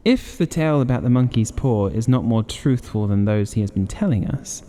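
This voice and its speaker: A man's voice: medium pitch, animated, normal speed, warm tone, natural voice. A man voicing a teasing, sceptical son with a playful, mocking manner in an audiobook. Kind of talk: audiobook character voice. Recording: long reverberant hall-like echo.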